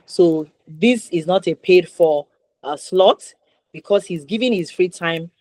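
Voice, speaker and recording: A woman's voice: lowish voice, measured pace, darkish tone, dry recording. A woman with a Nigerian accent hosting a conversational interview show, encouraging and emphatic, repeating points for stress. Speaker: talk show host.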